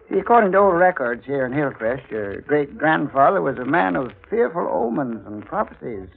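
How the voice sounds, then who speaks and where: An actor playing a folksy, amused older small-town official in a 1940s radio drama, with a creaky voice and a hemming manner. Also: male voice, fairly high pitch, big pitch swings, exaggerated voice. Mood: amused, gossipy. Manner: folksy, chuckling, unhurried hemming. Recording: muffled, faintly noisy 1940s radio recording.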